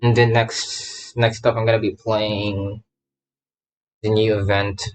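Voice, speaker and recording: Male game streamer, casual chat, unhurried, scattered, repeats phrases, music behind. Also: fairly low pitch, flat delivery, poor audio. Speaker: livestreamer, gamer VTuber. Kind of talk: casual livestream chat while setting up a game.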